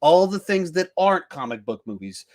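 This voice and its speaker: A man's voice: high-pitched, sing-song, moderate pace. A man, hosting a podcast and talking film in a casual, conversational style. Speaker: podcast host.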